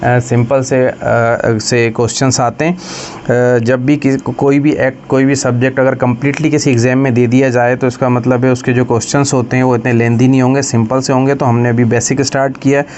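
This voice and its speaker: A man with a Pakistani accent giving an explanatory exam-prep lecture, slowing down to read questions deliberately and then explaining them. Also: mid-range voice, little pitch movement, darkish tone, a little hiss or hum. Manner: switches between Urdu explanation and slow, deliberate English reading. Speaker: lecturer for law exam preparation.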